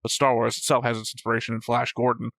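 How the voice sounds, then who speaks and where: A man's voice: mid-range voice, monotone, fast talker, gravelly, fairly bright tone, no room sound. A man co-hosting a reaction show, chatting casually and wandering into joking tangents. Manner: rambling, joking, talks in tangents. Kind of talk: casual discussion and commentary.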